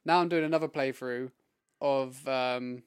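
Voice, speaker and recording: A man's voice: mid-range voice, a little slow, smooth. A man, casually explaining the games he has been playing. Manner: relaxed, explains at length. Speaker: podcast co-host. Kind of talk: casual gaming chat.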